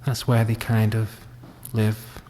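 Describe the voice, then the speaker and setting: A man leading a guided meditation, speaking gently and leaving long pauses. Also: fairly low pitch, completely flat delivery, a little hiss or hum. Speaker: meditation teacher. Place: reverberant room at a wellness centre. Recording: long hall-like reverb.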